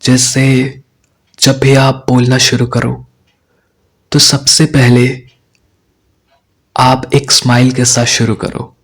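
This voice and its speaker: A young man with an Indian accent teaching spoken English, explaining deliberately in Hindi mixed with English and often checking in with "right?". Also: mid-range voice, flat delivery, a bit bright, slightly rough.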